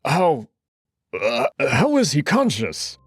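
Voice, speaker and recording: Man doing a timid, high-pitched old wolf doctor. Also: huge pitch swings, takes it slowly, breathy edge, exaggerated voice. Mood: nervous. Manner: stammering, hesitant, pitched up.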